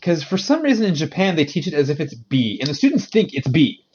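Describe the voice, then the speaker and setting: A man who teaches English in Japan, chatting casually on a podcast and explaining with animated frustration. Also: fairly high pitch, a little fast, highs cut off, a little hiss or hum.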